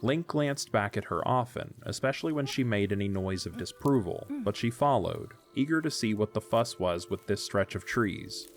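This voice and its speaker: A man's voice: on the low side, animated, normal speed, few pauses, faint music behind. A man narrating a scripted story as the narrator of an audio drama.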